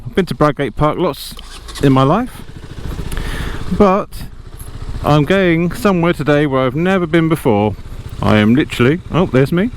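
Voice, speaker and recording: A man chatting casually to a vlog as he rides a motorbike, rambling, self-deprecating and easily sidetracked. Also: fairly high pitch. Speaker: motorcycle vlogger. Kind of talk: casual vlog chat while riding. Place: outdoors, riding a motorbike inside a helmet. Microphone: lavalier inside helmet. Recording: loud wind, engine and road noise. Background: engine, wind and passing traffic.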